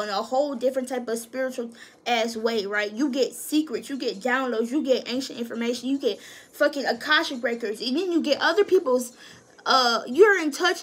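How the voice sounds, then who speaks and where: A woman giving a casual tarot reading in a high voice, swearing freely and talking with slangy emphasis. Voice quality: high-pitched voice. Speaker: tarot reader.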